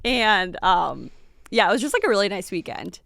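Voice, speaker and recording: A woman's voice: medium pitch, big pitch swings, moderate pace, creaky, a bit bright, dry recording, studio quality. Chatty woman in her late twenties. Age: late 20s. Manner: chatty, fillers and little laughs. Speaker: podcast co-host. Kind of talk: casual chat.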